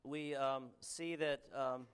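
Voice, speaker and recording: Man, Canadian accent, preacher, mid-pitched voice, earnest, halting with ums. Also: fairly even intonation, clean tone. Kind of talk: sermon with scripture reading.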